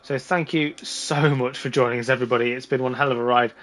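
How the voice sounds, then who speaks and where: A man with a slightly hoarse voice giving casual, informal live commentary on a sim race. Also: mid-range voice, steady tone, fast, a bit bright.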